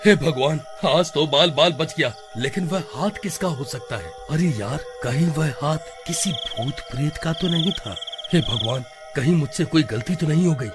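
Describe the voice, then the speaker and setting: A man voicing a sly, defensive village husband in an animated story, exclaiming theatrically. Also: on the high side, normal speed, natural voice. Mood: nervous. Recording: music behind the voice.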